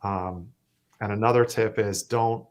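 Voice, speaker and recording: A Canadian man with a self-described monotone voice, talking casually as a musician guest, rambling with fillers and restarts. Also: fairly low pitch, unhurried. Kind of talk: casual interview chat.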